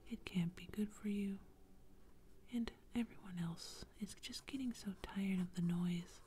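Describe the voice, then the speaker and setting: A tired mother pleads in a hush, voiced by a woman whispering a bedtime tale. Her tone is dark and mellow and her pace slow, with a faint hiss underneath. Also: medium pitch, natural voice. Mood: pleading. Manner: whispered, begging.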